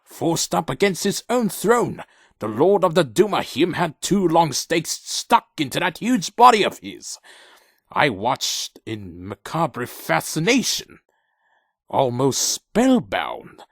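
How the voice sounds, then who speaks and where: A man as a dramatic, gravelly vampire warrior. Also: fairly high pitch, wildly swooping pitch, a bit bright, dry recording, studio quality, exaggerated voice. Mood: grave narration. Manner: theatrical, grave, deliberate. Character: defiant, intense vampire warrior loyal to his clan. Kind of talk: dramatic first-person narration and acted dialogue.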